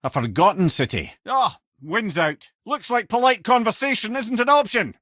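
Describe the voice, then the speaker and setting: A man's voice: sits high, lively intonation, moderate pace, a bit bright, no room sound, clean studio sound. A man playing a witty, learned old talking head in a video game, an eloquent storyteller and loyal companion with a Scottish accent. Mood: wry. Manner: wry, eloquent, colloquial storyteller. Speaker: companion and lore-teller.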